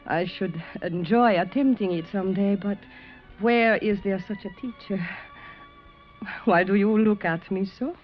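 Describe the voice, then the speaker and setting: A woman's voice: mid-range voice, measured pace, soft background music, lightly acted. A woman playing an elegant, coquettish Russian ballet dancer, formal and flirtatious, in a vintage radio drama. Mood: poised, intrigued. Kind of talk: 1950s radio drama acting. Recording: muffled vintage radio recording.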